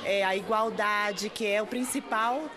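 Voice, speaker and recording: A woman teacher explaining her class's work in an interview, pausing as she talks. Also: medium pitch, steady tone, a crowd in the background. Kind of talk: interview answer.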